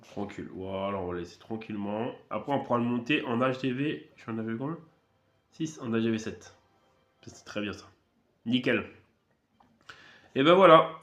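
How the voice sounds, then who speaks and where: A man with a cold giving relaxed, rambling commentary while playing a mobile strategy game, with many fillers and restarts. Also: medium pitch, moderate pace, pauses often, reverberant, lo-fi sound. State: has a cold. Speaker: gaming channel host. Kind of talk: casual gameplay commentary.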